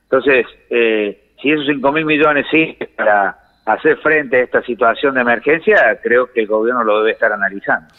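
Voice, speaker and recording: An Argentine man giving measured economic explanations in a phone interview, pausing to search for words. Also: medium pitch. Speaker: economics commentator, phone guest. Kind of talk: explanatory radio-style interview answers. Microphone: telephone. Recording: reverberant phone call feed.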